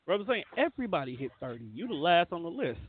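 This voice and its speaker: A man with a fairly high voice hosts a casual radio show. His delivery is informal and full of slang, and the sound is narrow, as if coming through a phone. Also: very animated, fast talker, a crowd in the background.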